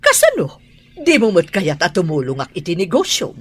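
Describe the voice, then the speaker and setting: An actress with an Ilocano accent playing a haughty, sharp-tongued wealthy wife in a radio drama. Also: female voice, on the low side, wildly swooping pitch, moderate pace, breathy edge, full character voice. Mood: accusing.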